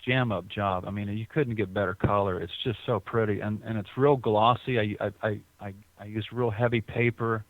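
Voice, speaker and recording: A man's voice: fairly low pitch, steady tone, normal speed, hardly any pauses, no room sound. A man being interviewed as a guest over the phone, rambling with frequent restarts and repeated words. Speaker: guest artist. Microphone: telephone. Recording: tinny phone line, dry.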